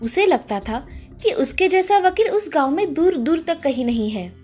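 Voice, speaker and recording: Lively high-pitched woman storyteller. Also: normal speed, faint music behind. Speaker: story narrator. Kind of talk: scripted moral-story narration.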